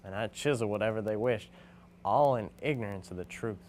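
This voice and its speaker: Man playing a low-voiced, reflective gravestone carver. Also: a little fast, darkish tone, a little hiss or hum, close to an everyday voice. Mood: reflective, regretful. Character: reflective male gravestone carver, weary and confessional. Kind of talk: dramatic monologue reading by drama student.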